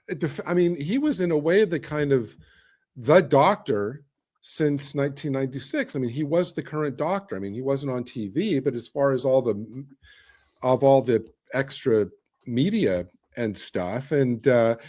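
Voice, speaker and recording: American guy on a podcast panel, average pitch, halting delivery, leans hard on words. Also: lively intonation, a little mellow. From United States. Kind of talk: casual chat, personal recollection.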